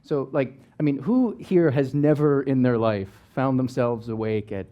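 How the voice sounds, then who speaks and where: A man's voice: medium pitch, measured pace, lo-fi sound. A man speaking as an educator on a panel, wry and joking, thinking aloud as he goes. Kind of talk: panel discussion.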